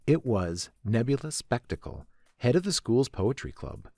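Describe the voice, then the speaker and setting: A man's voice: mid-range voice, big pitch swings, moderate pace, vocal fry, dark tone, no room sound, studio quality. A man reading scripted story narration as the narrator.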